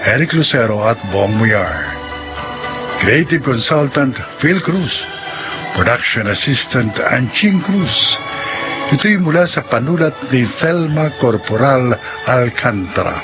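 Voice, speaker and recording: A man announcing the cast and credits of a radio drama in a measured, solemn voice. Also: mid-range voice, expressive. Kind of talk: formal scripted announcing. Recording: muffled radio broadcast with music.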